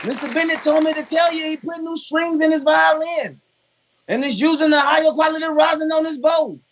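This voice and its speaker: A man's voice: very high voice, steady tone, brisk, a bit bright, some chatter behind. A man playing a loyal but cheeky household valet in 1950s radio comedy style, with dry, sassy punchlines.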